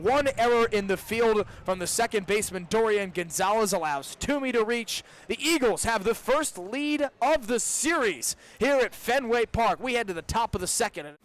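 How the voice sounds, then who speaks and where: A young male broadcaster gives live baseball play-by-play for radio. His voice sits high, and there is faint outdoor ambience behind him in the booth. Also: fairly bright tone, a little hiss or hum. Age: young adult. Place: ballpark broadcast booth with open window.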